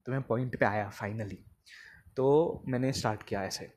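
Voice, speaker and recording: A man's voice: mid-range voice, normal speed, a little mellow. A young man telling a comic first-person story as a podcast host, acting out dialogue and repeating phrases for emphasis.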